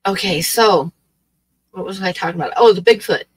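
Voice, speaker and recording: A woman's voice: medium pitch, moderate pace, slightly rough, no echo. A woman in her late sixties chats loosely to her livestream viewers. Her talk wanders, with plenty of ums and restarts. The sound is dry and close, as from a webcam in a small room. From Oregon, US. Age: 60s. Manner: rambling, hesitant, many fillers. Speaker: livestream host. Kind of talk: casual chat with live chat. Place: small dim room with draped wall.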